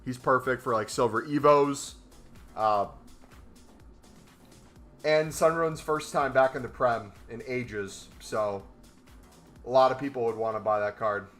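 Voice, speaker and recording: A man's voice: medium pitch, unhurried, pauses often, fairly bright tone, soft background music. A young man in casual live-stream commentary, laid-back and talking to his chat from a small room. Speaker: trading streamer. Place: small room indoors, gaming chair.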